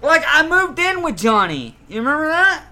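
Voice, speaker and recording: A man in his thirties with a sharp, bright voice, rambling through personal stories in casual chat. His pitch swings about freely, and he swears as he goes. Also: moderate pace. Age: 30s. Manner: rambling, animated, profane.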